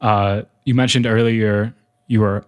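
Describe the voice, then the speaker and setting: A man's voice: on the low side, steady tone, unhurried, clear, resonant tone. A man hosting a podcast, asking questions in a casual, upbeat, slangy way. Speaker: podcast host. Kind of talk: casual interview.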